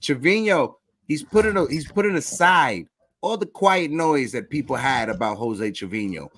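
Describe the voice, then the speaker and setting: A man's voice: a little high, expressive, normal speed, fairly bright tone, dry recording. A man hosting a casual live sports fan show, talking straight to his audience in slangy, repetitive phrasing. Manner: addresses listeners directly, slangy, repeats phrases.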